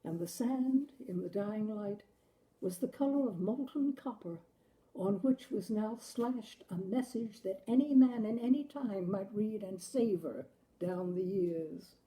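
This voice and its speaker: Female narrator for literary fiction, unhurried and expressive, with a very soft, dark, mellow tone. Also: mid-range voice, low-quality recording. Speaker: story reader, show host. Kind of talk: literary audiobook-style story narration.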